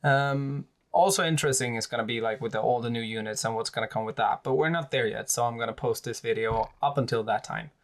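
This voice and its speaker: Man, Swedish accent, gravelly voice, mid pitch, hesitant casual commentary. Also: fast talker, hardly any pauses. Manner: hesitant, many fillers and restarts. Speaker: tabletop wargaming creator. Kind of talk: casual commentary on army lists.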